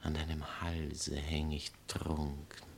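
An actor playing a jilted bohemian writer in a 1960s German radio play, declamatory and ironic, with pleading and biting sarcasm. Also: male voice, completely flat delivery, slow, creaky, exaggerated voice. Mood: pleading, seductive. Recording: faint noise and low rumble.

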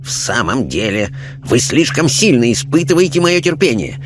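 A man voicing a pompous, pious gentleman ghost in an audiobook, speaking formally with offended haughtiness. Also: mid-range voice, big pitch swings, moderate pace, noisy background, pitched above the performer's own voice, natural voice. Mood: offended, preachy.

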